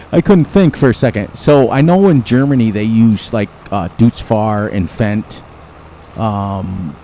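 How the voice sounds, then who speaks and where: A man's voice: lowish voice, vocal fry, mellow, slight background noise. A man hosting a farming game channel, chatting casually and rambling from one thing to the next with frequent chuckles. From United States. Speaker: gaming channel host. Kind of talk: casual gameplay commentary.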